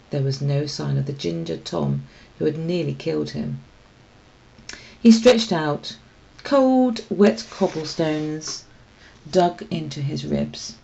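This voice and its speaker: A woman reading a children's story aloud as expressive scripted narration. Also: low-pitched, vocal fry, highs cut off. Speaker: storybook reader.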